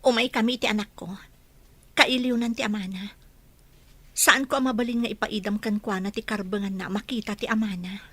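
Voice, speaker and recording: A woman's voice: mid-range voice, steady tone, a little fast, bright, forceful tone, dry recording. A woman playing a tender, concerned wife and mother in a Filipino audio drama.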